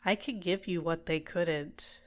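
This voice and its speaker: A woman performs a manipulative villainess for an ASMR roleplay. Her voice sits on the low side, soft and deliberate, soothing her captive with possessive tenderness and gentle coaxing. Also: fairly even intonation, natural voice. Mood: tender, possessive. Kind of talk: ASMR roleplay, intimate personal attention.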